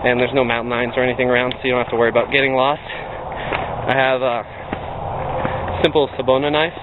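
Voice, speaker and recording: A man's voice: mid-range voice, level tone, fast talker. Young man vlogging on a trail. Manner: chatty, frequent ums while walking. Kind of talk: casual hiking vlog. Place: outdoor hillside trail. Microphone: handheld camera. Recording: tinny lo-fi camera audio, loud wind noise.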